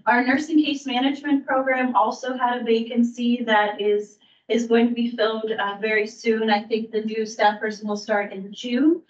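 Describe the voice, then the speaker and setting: A woman who works for a county aging services bureau, giving a staff update at a public meeting in a measured way, with frequent ums and restarts. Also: a little high, flat delivery. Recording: distant roomy mic, dull sound.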